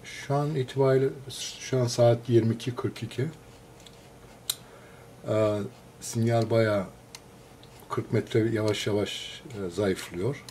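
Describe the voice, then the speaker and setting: A man, a radio hobbyist, explains a receiver in a casual, unscripted walkthrough, pausing between short phrases. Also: on the low side, steady tone, dark tone, slight background noise. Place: indoors, filming a computer screen.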